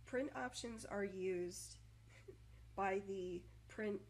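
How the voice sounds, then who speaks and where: A woman narrating a scripted software tutorial, stuttering with long pauses and repetitions and over-enunciating every word. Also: medium pitch, poor audio.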